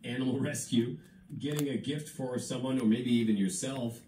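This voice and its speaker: Male radio host, Canadian accent, relaxed mellow tone, chatty presenting, roomy radio playback. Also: mid-range voice, normal speed, slight background noise. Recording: radio broadcast replayed in echoey room.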